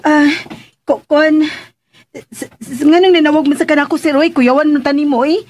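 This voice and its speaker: Woman acting high-pitched, flustered young Visayan woman. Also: steady tone, moderate pace, darkish tone, slight background noise, close to an everyday voice. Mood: flustered, protesting. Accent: Filipino, Visayan. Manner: exclamatory, stammering, protesting. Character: Almira, flustered, feisty young working woman. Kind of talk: radio drama acting.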